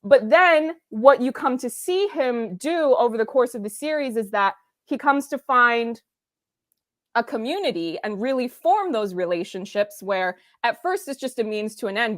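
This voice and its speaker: High-voiced woman dissecting a series. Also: animated, moderate pace, no room sound, clean studio sound. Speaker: host of a series review discussion. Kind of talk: analytical casual discussion.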